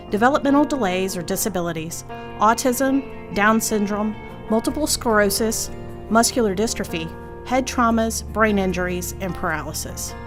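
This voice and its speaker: A woman delivering scripted promotional narration as an announcer for a community spot. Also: medium pitch. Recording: music bed behind voice. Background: music.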